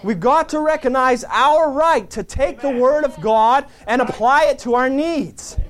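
A man preaching a fervent sermon, peppering his lines with amen and hallelujah and building to shouts. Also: extremely high pitch, measured pace.

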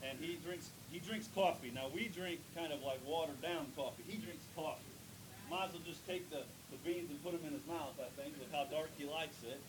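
A man preaching a sermon in church, reading scripture, praying and building to loud emphatic points with drawn-out words. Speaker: pastor. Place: church sanctuary. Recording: noisy with low rumble.

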